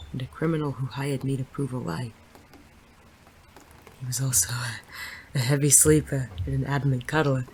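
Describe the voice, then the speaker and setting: An actor playing a gruff, wry, smitten male private detective in a noir audio drama, with teasing, plain-spoken tough-guy phrasing. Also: medium pitch, darkish tone, slight background noise, close to an everyday voice, low-quality recording. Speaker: narrator and lead character. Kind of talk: noir audio drama, first-person narration and banter.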